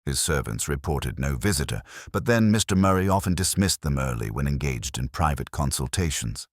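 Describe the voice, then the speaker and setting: A man's voice: extremely low pitch, animated, moderate pace, dry recording, clean studio sound. A man voicing a grave, reserved Victorian solicitor in an audiobook, speaking formally. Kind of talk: audiobook detective story.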